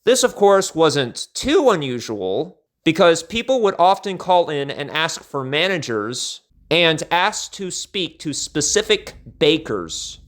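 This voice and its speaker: A man narrating a scripted personal story as a storyteller, with theatrical, measured phrasing. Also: a little high, fairly bright tone.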